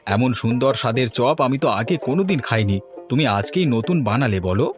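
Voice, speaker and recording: A man's voice: mid-range voice, animated, fast, lightly acted. A man voicing a kindly, food-loving village husband in a cartoon story, exclamatory and folksy. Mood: pleased. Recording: music under the voice.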